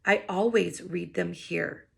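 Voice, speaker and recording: A woman's voice: lowish voice, slow pace, creaky, husky, full character voice. A woman voicing a polite, determined nine-year-old boy in a storybook, with an earnest manner.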